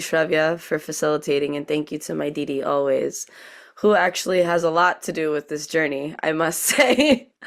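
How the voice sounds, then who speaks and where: A woman from an Indo-Guyanese family in the United States, speaking earnestly and deliberately as a priestess giving a personal account on a panel. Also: low-pitched, vocal fry.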